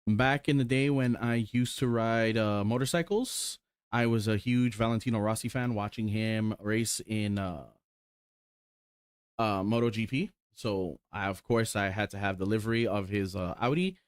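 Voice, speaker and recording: Man, 40s, casual rambling streamer, excitable, salty language, dry close sound. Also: on the low side, moderate pace, clean tone, no room sound. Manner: rambling, excited, swears casually, lots of ums. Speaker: sim racing streamer. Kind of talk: casual chat while showing cars.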